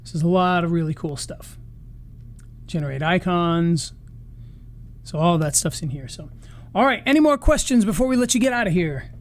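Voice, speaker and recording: A man's voice: sits high, expressive, normal speed, pauses often. A man hosting a live marketing session, talking loosely and rambling with restarts, starting to lose his voice. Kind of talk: casual live-stream wrap-up.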